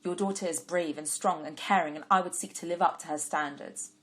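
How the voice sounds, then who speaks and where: A woman voicing an impetuous, lovestruck young man who talks loudly and urgently. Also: fairly low pitch, steady tone, fairly quick, natural voice. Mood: sincere. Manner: loud, urgent calling.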